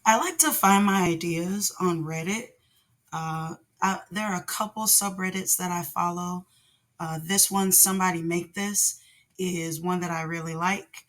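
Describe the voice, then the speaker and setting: Casual vlog host, woman, low raspy voice from a cold, lots of ums and restarts. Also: fairly bright tone. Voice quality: naturally deep voice. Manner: rambling, with many ums and restarts. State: hoarse from laryngitis. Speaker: channel host, product designer.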